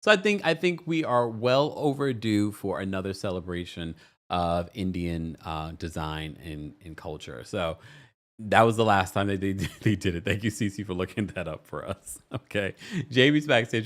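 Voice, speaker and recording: Very deep-voiced live stream host. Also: male voice. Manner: affirming, brief acknowledgments.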